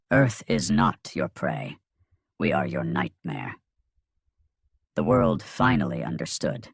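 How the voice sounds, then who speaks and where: A man narrates dramatic science fiction with a gravelly, husky voice. His delivery is grave and ominous, landing short emphatic phrases with animated swings in pitch. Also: no echo, clean studio sound. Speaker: story narrator. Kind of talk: dramatic scripted sci-fi story narration.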